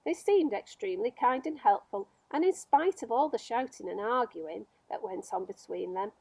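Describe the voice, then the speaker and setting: A woman reading a children's story aloud as a storyteller, with expressive narration. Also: fairly high pitch, normal speed, breathy edge, dry recording, poor audio.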